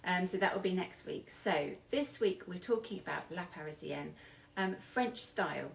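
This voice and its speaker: Low-voiced British woman, creaky edges. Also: expressive, moderate pace. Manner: hesitant, self-deprecating, apologetic asides. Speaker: style coach presenting a lesson. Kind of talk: informal instructional talk.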